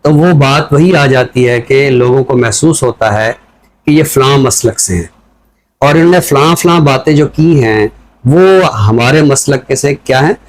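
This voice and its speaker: Deliberate Pakistani man explaining his views. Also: medium pitch, low-quality recording. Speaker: literary talk show commentator. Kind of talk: reflective talk show commentary.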